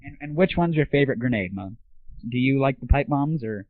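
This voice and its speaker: A man's voice: medium pitch, expressive, fairly quick, no room sound. A young man hosting a casual gaming audio show, chatting and asking questions as an interviewer. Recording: tinny, phone-like, band-limited 1990s internet audio.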